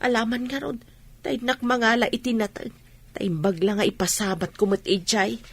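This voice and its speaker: A woman's voice: fairly high pitch, fairly even intonation, moderate pace, fairly bright tone, breathy edge. A woman playing a worried, fretful village mother in a radio drama. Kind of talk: radio comedy drama dialogue.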